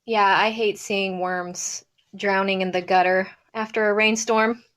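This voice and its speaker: Female show participant, medium pitch, casual conversational delivery. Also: little pitch movement, unhurried, fairly bright tone. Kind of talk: casual chat.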